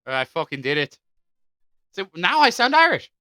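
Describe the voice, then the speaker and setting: A male podcast co-host reads out folklore in an animated, jokey way, his voice rising and falling widely. His tone is very bright and forceful, and the mic sound is dry and close. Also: sits high, normal speed, dry recording. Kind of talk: casual chat, reading folklore aloud.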